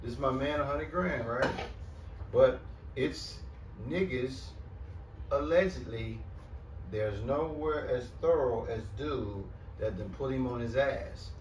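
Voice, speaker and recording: A man's voice: medium pitch, slow, a little hiss or hum. A man in a heated group talk, hyped and egging the others on, often laughing. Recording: roomy, mic far off.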